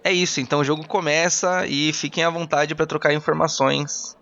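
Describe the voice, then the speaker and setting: High-pitched male game master. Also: steady tone, a little fast, vocal fry, pushed and bright. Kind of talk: narrating a tabletop roleplaying game. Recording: dull lo-fi, music behind.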